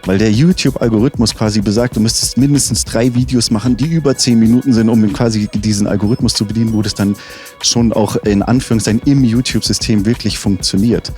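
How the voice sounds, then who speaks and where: A man's voice: on the low side, fairly even intonation, fast, a little mellow, soft background music. A man as a rapper interview guest, chatting casually and enthusiastically with slang and frequent restarts. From Munich.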